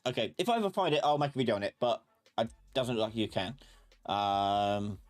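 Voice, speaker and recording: A man's voice: on the low side, animated, brisk. A man hosting a live online class, talking informally and thinking aloud as he rambles through his points. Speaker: live class instructor and channel host. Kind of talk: casual live-stream tutorial talk.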